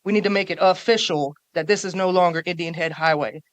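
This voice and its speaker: A woman speaking on a panel before a live audience in a small café, forceful and emphatic, stacking up points and repeating them for weight. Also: lowish voice, quick pace, no echo. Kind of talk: panel talk.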